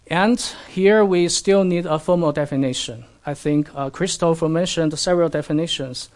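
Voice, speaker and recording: A man whose first language is Chinese, giving an academic lecture in halting English with many fillers and asking the audience questions. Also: a little high, a little mellow. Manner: halting non-native English with frequent fillers.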